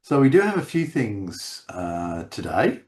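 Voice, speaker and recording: A man chats loosely over a video call. His deep voice is croaky after weeks of laryngitis, and he rambles, stumbling over repeated words. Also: very animated, a little slow, a little mellow. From Australia. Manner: rambling with many restarts. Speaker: podcast co-host. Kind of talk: casual chat.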